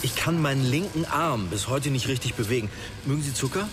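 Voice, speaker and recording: A man's voice: medium pitch, expressive, a little fast, natural voice. A man acting a reflective author recounting his survival in naturalistic radio drama dialogue. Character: male author and plane crash survivor, reflective. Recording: noisy public ambience behind.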